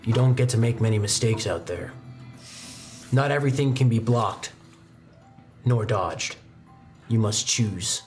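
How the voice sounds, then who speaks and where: Woman as stern male sword master in a tabletop game: very low, solemn, instructive, music underneath. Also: measured pace, long pauses, darkish tone. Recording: music behind the voice.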